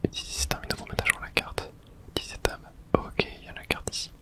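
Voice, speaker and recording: Whispering ASMR gamer. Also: fast talker. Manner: whispering throughout; casual swearing, muttering to self. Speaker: video game streamer. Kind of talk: whispered ASMR game playthrough.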